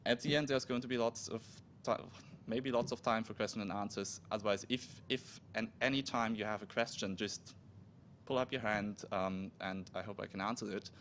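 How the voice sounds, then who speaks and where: A man whose first language is German gives a technical talk at a conference in a fairly low voice. He speaks haltingly, breaking off words and starting over. A faint rumble sits under the recording. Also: fairly even intonation, a bit bright. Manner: halting, with restarts and self-corrections. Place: conference room.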